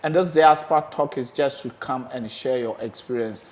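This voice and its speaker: A man with a Ghanaian accent hosting a casual interview, animated and prone to repeating his phrases. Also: medium pitch, normal speed. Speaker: host.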